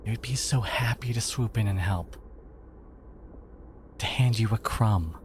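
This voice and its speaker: A man acts out a devoted, protective boyfriend in an intimate ASMR audio drama. His voice is very low and slow, halting and often slipping into breathy whispers, full of feeling. There is clear background noise behind him. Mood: protective, indignant. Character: devoted, protective boyfriend, tender, indignant and emotional.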